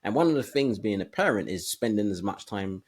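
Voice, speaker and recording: A man's voice: lowish voice, animated, fairly quick, crowd noise. A man co-hosting a casual podcast discussion, thinking aloud hesitantly in broken phrases. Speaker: podcast co-host.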